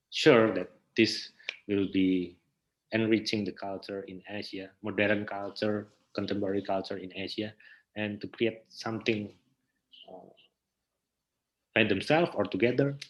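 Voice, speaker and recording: A man with an Indonesian accent speaks as a guest in a casual interview. His voice sits on the low side. He builds sentences haltingly, repeating words before moving on. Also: low-quality recording. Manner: halting, repeats words, non-native English. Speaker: interview guest, theater researcher and actor.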